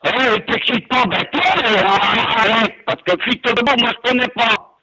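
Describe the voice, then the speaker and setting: A man's voice: extremely high pitch, unhurried, background noise. A man arguing heatedly on a phone call, indignant and threatening, repeating himself. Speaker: prank call target.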